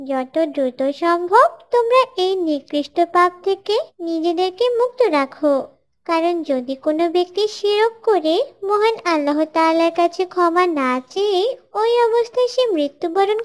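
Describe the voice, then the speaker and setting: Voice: extremely high pitch, animated, normal speed. A performer voicing a pious little schoolgirl in a cartoon, earnestly teaching others in a careful, preachy way. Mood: solemn, instructive. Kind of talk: scripted moral dialogue for an Islamic cartoon.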